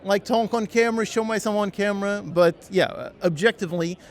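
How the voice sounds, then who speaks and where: High-voiced man, chatty and laughing. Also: brisk. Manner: laughs often, hesitant fillers, quotes himself. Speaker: game dev YouTuber, guest. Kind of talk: casual conversation.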